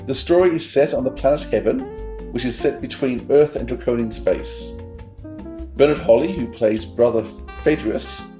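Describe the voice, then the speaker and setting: A man's voice: medium pitch, mellow. A man co-hosting a fan podcast, presenting facts and chatting. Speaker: podcast co-host. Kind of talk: trivia read-out and fan chat.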